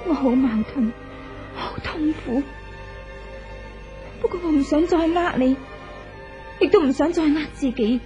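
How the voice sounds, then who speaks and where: An actress playing a conflicted, melancholy young wife in a 1970s Hong Kong Cantonese radio drama, plaintive and confessional. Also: female voice, sits high, measured pace, long pauses. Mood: anguished confession. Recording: dull vintage radio recording with music.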